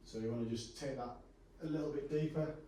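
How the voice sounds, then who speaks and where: A man working as a personal trainer, calmly talking a client through stretches in a gym, his voice a little hoarse. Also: medium pitch, brisk, a little hiss or hum. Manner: relaxed, reassuring instructions with frequent fillers. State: going hoarse. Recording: echoey room from a distance.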